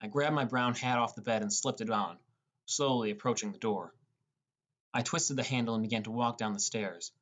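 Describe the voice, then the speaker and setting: A man's voice: medium pitch, moderate pace, a bit bright. A man reading a scripted first-person story as an audiobook narrator.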